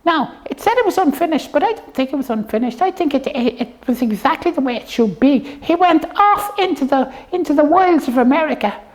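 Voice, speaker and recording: High-voiced woman chatting on a puppet show. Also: lively intonation, fairly quick, few pauses, a little mellow. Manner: hesitant, rambling explaining. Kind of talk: casual comic chat.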